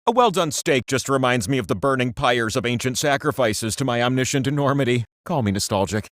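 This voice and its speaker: High-voiced, swooping, self-important game-show wheel. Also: male voice, a bit bright, no room sound, clean studio sound. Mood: boastful, playful. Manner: playful, self-important, conversational asides. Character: giant all-knowing talking game-show wheel, boastful, chummy, fond of humans. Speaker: quiz game host. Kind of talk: theatrical, comic quiz-show patter.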